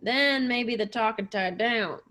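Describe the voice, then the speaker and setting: A folksy, easygoing country sheriff, played by a woman in a radio play. He gossips in a hesitant, rustic way, and the voice sits a little high. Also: measured pace, bright, forceful tone. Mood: folksy, cautioning.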